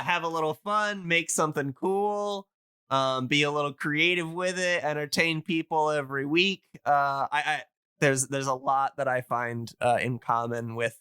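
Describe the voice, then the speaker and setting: A man's voice: fairly high pitch, sharp tone, smooth, no room sound, studio quality. A man hosting a podcast in casual chat, explaining things enthusiastically and rambling as he searches for words. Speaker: podcast host.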